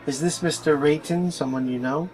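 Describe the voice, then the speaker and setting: A man's voice: fairly high pitch, normal speed, a little mellow, lightly acted, rough recording. Man acting a polite starship captain, music behind. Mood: polite, thoughtful. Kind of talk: audio drama acting. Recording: music bed under the voice.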